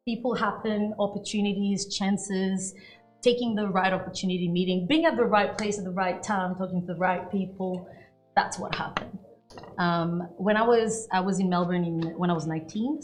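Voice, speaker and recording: A woman's voice: mid-range voice, fairly even intonation, normal speed, soft background music, poor audio. A woman in her thirties from Mauritius with a Mauritian accent, a native French and Creole speaker, telling her story as a guest in a casual interview. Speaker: wine professional guest.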